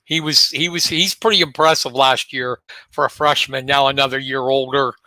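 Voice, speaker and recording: A man co-hosting a local high school sports talk show, chatting in a relaxed way and leading the rundown of teams. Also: moderate pace, dry recording.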